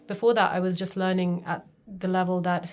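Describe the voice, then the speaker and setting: A woman's voice: fairly low pitch, flat delivery, fairly quick, a little mellow. Earnest woman vlogging to camera. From Pakistan. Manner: earnest, confiding, often says "literally". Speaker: homeschooling and wellness coach. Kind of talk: personal talking-to-camera vlog.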